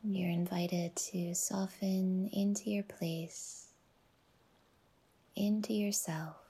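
A woman guiding a relaxation meditation in a soft, whispery, soothing voice, unhurried and leaving long pauses between phrases. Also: mid-range voice, flat delivery, slightly rough. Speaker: yoga nidra guide and podcast host.

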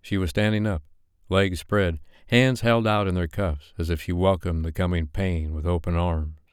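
A man reading a romance novel as an audiobook narrator, with measured, deliberate phrasing. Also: sits low, fairly even intonation, clear, resonant tone, no room sound, clean studio sound.

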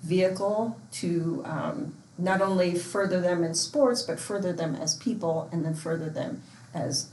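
A woman's voice: fairly low pitch, measured pace, darkish tone. A woman from Portland, Oregon who coaches youth sports and organizes missions trips, speaking earnestly in a casual interview with frequent fillers and restarts. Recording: echoey room with faint ambience.

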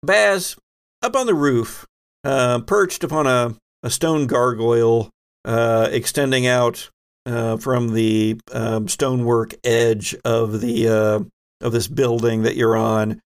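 A man hosting a tabletop role-playing game as game master, improvising narration and often pausing mid-sentence to find his words. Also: medium pitch, fairly even intonation, clear, resonant tone.

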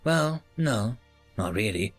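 A man's voice: lowish voice, expressive, slow pace, no echo, soft background music, full character voice. A man voicing a boastful, chatty male chef who rambles in folksy slang.

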